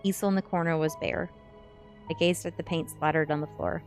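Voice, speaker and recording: A woman reading a first-person story aloud in a measured ASMR narration style. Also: sits low, steady tone, faint music behind. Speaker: streamer reading a novel aloud.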